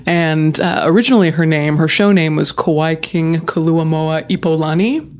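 A woman from Hawaii, a mortician and podcast guest, talking casually, chatty and quick to laugh at herself. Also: sits low, darkish tone. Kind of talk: casual chat.

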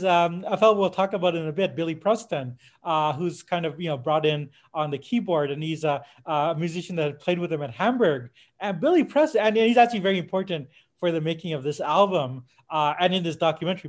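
Higher-voiced man in digressive podcast talk. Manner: halting, digressive, with frequent self-interruptions and tangents. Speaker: podcast co-host. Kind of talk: casual podcast discussion of music history.

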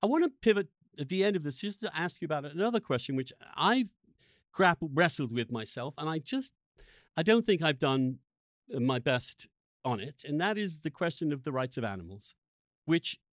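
A man hosting an interview podcast, speaking reflectively and earnestly in halting, emphatic phrases that often break off and restart. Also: on the high side, animated, no room sound, clean studio sound. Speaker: podcast host interviewing a guest.

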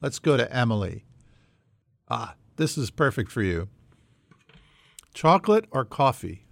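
A man's voice: medium pitch, big pitch swings, measured pace, creaky, dry recording. A man hosting a conversational quiz segment on a podcast. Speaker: podcast co-host running a quiz.

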